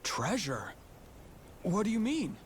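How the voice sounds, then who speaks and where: A man's voice: sits high, wildly swooping pitch, takes it slowly, slight background noise, exaggerated voice. An actor playing a cheerful, loyal yakuza underling in a video game, speaking earnestly and deferentially to his boss. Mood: puzzled. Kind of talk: dramatic video game voice acting.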